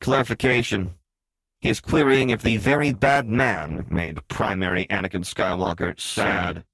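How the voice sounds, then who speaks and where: A man's voice: on the low side, steady tone, a little slow, creaky, a bit bright, slightly rough, no room sound, exaggerated voice, low-quality recording. A man voicing a droid's translated readout in a clinical, pedantic, formal report manner. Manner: clipped, formal report delivery.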